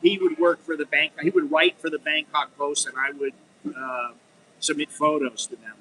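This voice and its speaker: A man's voice: a little high, little pitch movement, moderate pace, sharp tone, slight background noise, low-quality recording. A middle-aged American man talking frankly in a rambling, unscripted monologue, full of restarts and "you know.". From United States. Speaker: vlogger.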